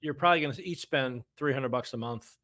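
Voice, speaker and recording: Male, middle-aged, American, mid-pitched voice. Blunt, folksy advisor chatting on a podcast, big on rhetorical questions. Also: fairly quick, fairly bright tone. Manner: opinionated, blunt, folksy, uses rhetorical questions and acted-out quotes. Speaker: financial advisor and podcast guest expert. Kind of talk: casual conversational podcast talk.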